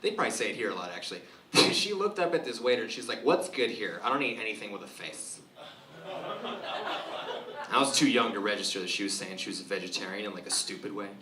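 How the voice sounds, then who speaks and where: A man's voice: fast, far from the mic. Roomy live stand-up from a man. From Romania. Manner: deadpan set-ups, then loud shrill put-on voices for punchlines. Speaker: stand-up comedian. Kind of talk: stand-up set. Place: live club show with audience laughter. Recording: roomy club sound from afar, audible noise.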